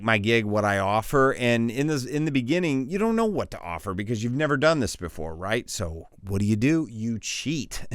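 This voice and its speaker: A man talks viewers through his freelance voiceover setup in a loose, rambling way, with frequent restarts and fillers. His voice sits a bit low, and the close, dry mic leaves almost no room sound. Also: clear, resonant tone, dry recording. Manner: rambling, informal, self-interrupting with fillers. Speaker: voiceover artist giving a tutorial. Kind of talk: casual screen-share tutorial. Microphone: studio voiceover mic.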